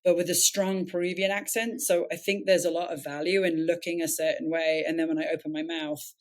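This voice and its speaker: A woman's voice: fairly low pitch, level tone, a little fast. A woman with an English accent, a nonprofit founder telling her story in an interview, reflective and earnest, often correcting herself mid-sentence.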